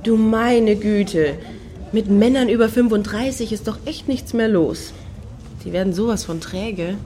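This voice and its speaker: An actress playing a sensible, sceptical friend in a radio satire, with a dry, chiding manner. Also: female voice, medium pitch, unhurried, noisy background, lightly acted. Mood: disdainful. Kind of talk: German radio play dialogue.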